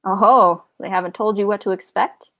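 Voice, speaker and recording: A woman voicing a suspicious, sardonic male orc captain who grumbles in rough colloquial speech, in a fantasy storybook. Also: fairly low pitch, normal speed, natural voice. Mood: suspicious, sly.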